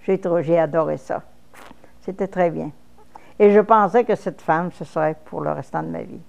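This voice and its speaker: Woman, Québécois French accent, 50s, interviewee, candid, lower pitch. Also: moderate pace, gravelly, a little mellow, highs cut off. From Quebec, Canada. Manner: frank and plain-spoken, with false starts. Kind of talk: personal testimony interview.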